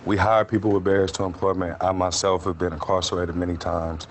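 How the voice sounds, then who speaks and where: A man who founded a small business tells its story in documentary voice-over, plain and steady. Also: sits low, a little fast, a crowd in the background. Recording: background noise.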